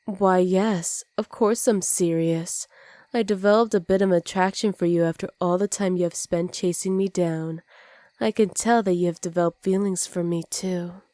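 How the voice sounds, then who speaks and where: A woman voicing a dominant, teasing supervillain in an ASMR roleplay, smug and commanding with soft, intimate delivery. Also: fairly low pitch, fairly even intonation, normal speed, dry recording, natural voice. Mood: amused, smug.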